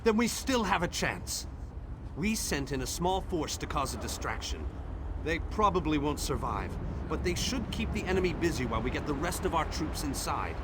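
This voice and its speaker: An actor playing an earnest, determined war leader in a fantasy video game, giving grave, measured briefings. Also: male voice, on the high side, big pitch swings, background noise. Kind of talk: video game character acting.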